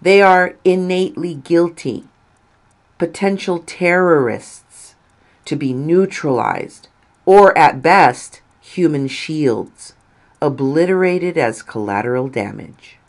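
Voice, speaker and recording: A woman reading a written article aloud in a deliberate, emphatic way with pauses between phrases. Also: low-pitched. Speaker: reader of an article.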